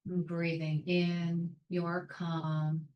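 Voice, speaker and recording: Slow, low-voiced woman guiding meditation. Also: roomy sound, lo-fi sound. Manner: calm, soothing, measured with long pauses. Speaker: meditation guide. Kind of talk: guided meditation, soft instruction.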